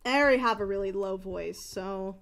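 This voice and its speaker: Smooth-voiced woman streamer chatting casually. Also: medium pitch, a little fast. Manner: rambling, restarts, candid. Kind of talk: casual chat.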